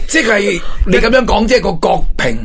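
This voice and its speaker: A man's voice: sits high, lively intonation, fairly quick, fairly bright tone. A man with a Hong Kong accent chatting casually as a satirical talk show host, emphatic and often checking for agreement.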